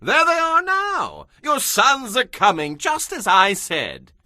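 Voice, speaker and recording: An actor playing an urgent, emphatic royal courtier in a dramatized audio Bible. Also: male voice, very high voice, huge pitch swings, slow, bright, forceful tone, no room sound, clean studio sound, exaggerated voice. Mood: urgent, assuring. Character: urgent, forceful royal courtier bringing news and counsel.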